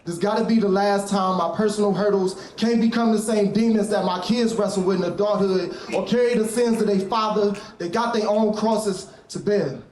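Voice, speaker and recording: A man with a notably high voice recites spoken word poetry. His delivery is reflective and confessional, like a poet baring himself. The live room throws a clear echo around him. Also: normal speed, hardly any pauses, darkish tone. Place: indoor stage at a live poetry event.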